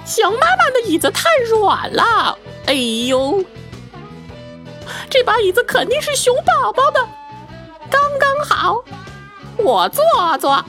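A woman's voice: very high-pitched, sing-song, slow pace, pauses often, sharp tone, soft background music, pitched above the performer's own voice, heavily acted. A woman voicing a nosy, huffy little girl who exclaims and complains in a children's radio play. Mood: fussy, complaining. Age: child figure.

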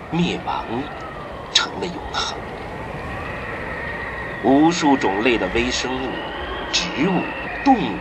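A man narrating a science-fiction radio drama in a solemn storytelling manner, with dramatic pauses. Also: mid-range voice, noisy background.

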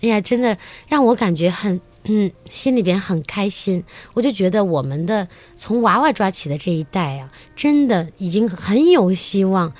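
A woman's voice: mid-range voice, moderate pace, hardly any pauses, no room sound. Female talk-show guest, teacher type, mid-range voice, enthusiastic storyteller, very dry close sound. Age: adult, mother of a young child. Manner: enthusiastic, animated storytelling with acted-out quotes. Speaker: guest teacher of children's musical theatre. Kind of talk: radio talk-show conversation.

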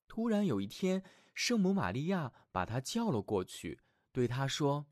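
A man narrating a scripted fairy tale for small children as their storyteller, in an expressive, affectionate manner. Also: medium pitch, moderate pace, no room sound, clean studio sound.

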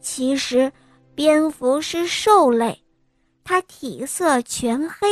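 A young woman narrates a children's bedtime story, sweet and sing-song with a gently coaxing lilt. Her voice is high and her pace unhurried. Soft music plays faintly behind her. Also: animated, no room sound, studio quality.

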